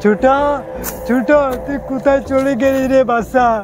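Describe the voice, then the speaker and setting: A high-pitched woodcutter father acted by a man, poor and protective of his young son. He speaks plainly and repetitively over clear background music, in amateur horror drama style. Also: steady tone, moderate pace. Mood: worried, searching.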